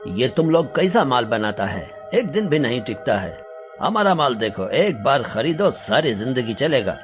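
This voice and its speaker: A man's voice: medium pitch, sing-song, measured pace. Guy doing cocky army officer in a cartoon, taunting and braggy, voice jumps around, music behind. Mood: boastful. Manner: taunting, swaggering. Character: boastful, scornful foreign army officer bragging of riches. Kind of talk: animated Hindi story voice acting.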